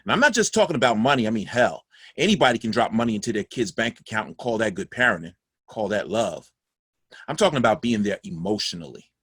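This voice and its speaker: A man's voice: fairly low pitch, very animated, fast, a bit bright, slightly rough, no room sound, studio quality, exaggerated voice. An actor playing a regretful older father, delivering a candid, rueful, reflective monologue in a family drama. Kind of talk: dramatic monologue in play reading.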